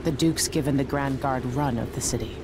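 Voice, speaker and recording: A woman's voice: rumbling low, fairly even intonation, fairly quick, noisy background. An actress playing a blunt, practical woman boat captain in a video game, speaking in a dry, matter-of-fact way. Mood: steady. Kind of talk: video game cutscene dialogue.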